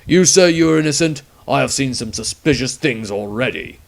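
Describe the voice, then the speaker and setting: Casting: man as zealous male witch hunter for fantasy audiobook. Fierce, spiteful, commanding, loud. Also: medium pitch, animated, moderate pace, gravelly. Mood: threatening. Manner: menacing, commanding, accusing. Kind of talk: fantasy audiobook character acting.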